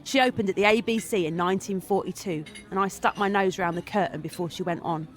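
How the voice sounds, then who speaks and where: A woman's voice: medium pitch, quick pace, close to an everyday voice. A woman playing a blunt, cynical Parisian survivor who narrates wartime memories in an audio drama monologue. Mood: proud reminiscing. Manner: wry, blunt.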